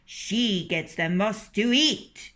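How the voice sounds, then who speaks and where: A woman's voice: mid-range voice, lively intonation, slow, fairly bright tone. A woman voicing a group of jealous hens in a storybook reading, complaining and petty. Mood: peevish. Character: jealous, fussing farmyard hens.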